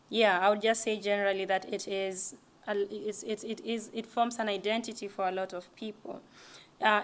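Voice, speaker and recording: A young woman giving a casual library lecture, talking conversationally with frequent restarts. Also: mid-range voice. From East Africa. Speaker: language presenter.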